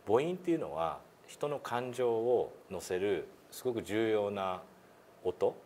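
A man's voice: on the low side, animated, unhurried, darkish tone, a little hiss or hum. A man teaching as a lesson instructor, explaining politely and informally, with some hesitation, in a room. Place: meeting room indoors.

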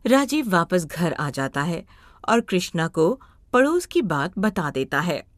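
A woman's voice: lowish voice, sing-song, measured pace, dry recording, studio quality. A woman reading scripted story narration as a storyteller.

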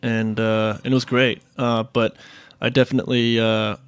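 A man in his thirties from Queens, answering interview questions in a relaxed, halting way with many fillers. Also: medium pitch, clear, resonant tone, dry recording. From Queens, New York. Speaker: restaurant managing partner, guest.

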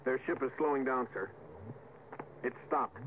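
A man's voice: medium pitch, measured pace, lightly acted. An eager male junior spaceship officer, played by an actor in old radio drama. He sounds earnest and deferential, with urgency. The recording is tinny and narrow, with a faint hiss. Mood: tense. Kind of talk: 1950s radio drama acting.

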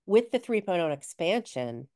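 A woman co-hosts a webinar on a video call, with a deep, smooth voice. She reads chat comments aloud and builds on them in an encouraging way. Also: sing-song, moderate pace, warm tone. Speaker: webinar co-host. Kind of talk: conversational webinar discussion.

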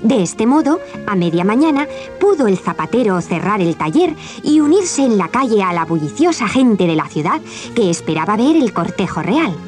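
A woman narrating a children's storybook cassette in a clear, lively telling. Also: mid-range voice, moderate pace, faint music behind.

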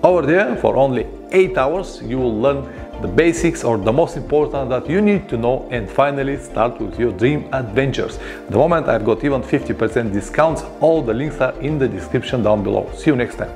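A man in his forties from Bulgaria, speaking English with a Bulgarian accent, telling a motorcycle story to camera in his garage workshop with a lapel mic, animated and chatty. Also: medium pitch, moderate pace, over music. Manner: animated, gestures a lot, chatty with non-native grammar. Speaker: motorcycle travel vlogger.

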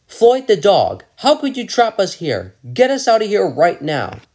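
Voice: mid-range voice, wildly swooping pitch, measured pace, darkish tone, full character voice. A performer voicing frightened cartoon characters who shriek and ask frantically what is going on. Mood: pleading. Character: panicked trapped cartoon townsfolk. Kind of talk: homemade cartoon voice acting.

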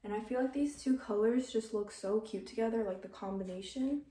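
A woman's voice: a little high, brisk, mellow, a little hiss or hum. Teen girl, from Canada, casual vlog chatter, upbeat, bedroom, phone held at arm's length. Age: 17, teenager. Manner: chatty, upbeat, lots of "like" and "literally". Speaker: vlogger. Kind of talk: casual vlog chat to camera. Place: bedroom at home, indoors.

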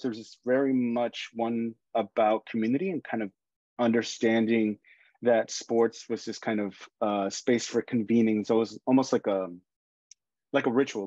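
A man's voice: medium pitch, warm tone, dry recording, dull audio. A man in his forties from Ecuador, an artist speaking as a guest on a video call, reflecting thoughtfully on his life. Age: 40s. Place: home room.

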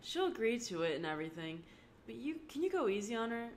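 A woman voicing a bubbly, teasing teenage girl, playful and excitable. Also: on the high side, fast, a little hiss or hum, pitched above the performer's own voice.

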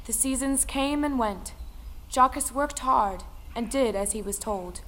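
A woman narrating a folktale audio play in a dramatic, measured storytelling manner. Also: fairly high pitch, fairly bright tone. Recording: music under the voice.

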